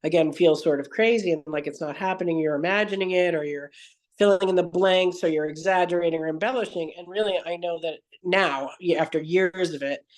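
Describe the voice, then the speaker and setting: A woman's voice: lowish voice, fairly quick, low-quality recording. A woman guest on a podcast, telling her story at length in an earnest, digressive way and often correcting herself mid-sentence. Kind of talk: conversational interview storytelling.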